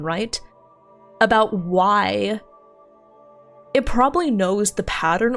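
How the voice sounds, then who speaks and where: A young woman chatting casually with her stream viewers while playing a game, laughing often and thinking out loud. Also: medium pitch. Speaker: livestreamer. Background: faint game music.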